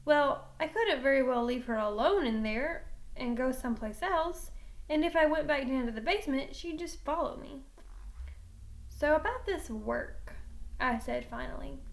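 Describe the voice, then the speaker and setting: Lady with a high voice reading a kids book out loud, really acting it out. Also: moderate pace, vocal fry. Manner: dramatic, expressive storytelling. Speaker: teacher reading a book aloud. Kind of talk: animated children's novel read-aloud.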